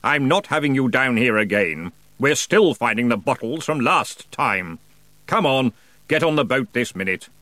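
A man's voice: mid-range voice, lively intonation, moderate pace, sharp tone, no echo. A man voicing a grumpy male ferryman of the dead, curt and fussy. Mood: scolding.

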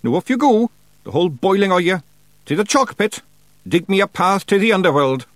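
A bold, weary little Scottish fairy chieftain, giving orders to his clan in broad dialect. The voice is pitched high and swings energetically, recorded dry and close. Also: sing-song, a little fast, fairly bright tone, dry recording. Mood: sharp, commanding. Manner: emphatic, rousing dialect speech.

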